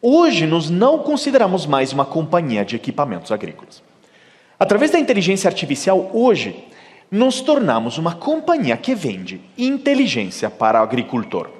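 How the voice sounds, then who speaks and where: Italian-accented man, keynote speaker, higher voice, lively swings, long hall reverb. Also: normal speed. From Italy. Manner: animated storytelling, acting out quoted dialogue. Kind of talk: conference keynote. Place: large conference hall.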